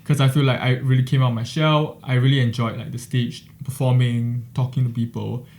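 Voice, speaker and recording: A young man from Singapore hosting a podcast interview, friendly and quick with many fillers. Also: mid-range voice, flat delivery, darkish tone. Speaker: podcast host. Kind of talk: casual interview conversation.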